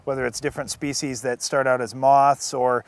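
A man's voice: mid-range voice, little pitch movement, normal speed, clean tone, no room sound. A middle-aged man co-hosting a farm show outdoors in a crop field, talking practically from experience on a lapel mic. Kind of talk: outdoor farm advice chat.

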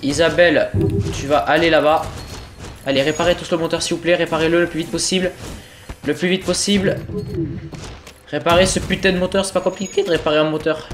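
A man's voice: on the high side, steady tone, fairly quick, pauses often, slight background noise. Congested young man swearing through a game. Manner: swearing, exasperated, talking to himself while playing. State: sick with a cold. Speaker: gaming video host. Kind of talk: casual let's-play commentary.

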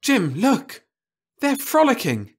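Male reader as earnest woman astronaut, soft, higher voice, sci-fi storybook. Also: animated, moderate pace. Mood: excited. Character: calm, earnest woman astronaut stranded with husband. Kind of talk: storybook reading.

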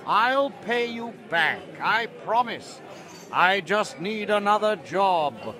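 A man voicing a down-on-his-luck scoundrel, pleading and making excuses, in an animated comic. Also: high voice, takes it slowly, exaggerated voice. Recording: bar crowd behind.

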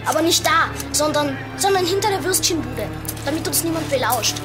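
Woman as very high-voiced, bookish young boy detective. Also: lively intonation, a little fast. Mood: worried, urgent. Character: bookish young boy detective, brave but easily rattled. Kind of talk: children's audio drama acting. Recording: music behind the voice.

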